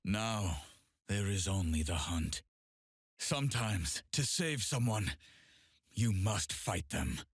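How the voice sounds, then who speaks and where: An actor playing a solemn, brooding masked swordsman who hunts demons, speaking gravely in measured game voice lines. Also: lowish voice, expressive, no room sound, studio quality, exaggerated voice. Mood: grave, resolute. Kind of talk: scripted video game character voice lines.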